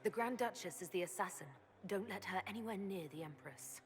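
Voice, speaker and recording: A woman's voice: medium pitch, a little fast, natural voice. A woman playing an urgent, commanding heroic leader in a video game, giving terse orders with authority. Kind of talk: dramatic video game acting.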